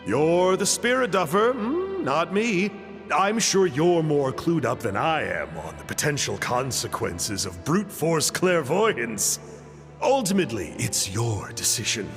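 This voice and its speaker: A pompous, high-pitched scheming scholar. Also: male voice, very animated, measured pace. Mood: coy, detached. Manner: pompous, wordy, theatrical. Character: smooth-talking, scheming, pompous male scholar. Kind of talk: scripted video game dialogue. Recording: long reverb, faint music.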